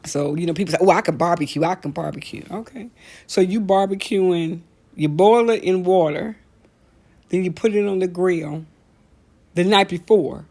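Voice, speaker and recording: A middle-aged American woman hosting a casual talk show, telling stories in a blunt, animated, colloquial way and repeating phrases for emphasis. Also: fairly low pitch, normal speed. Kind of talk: casual comedic rant with storytelling.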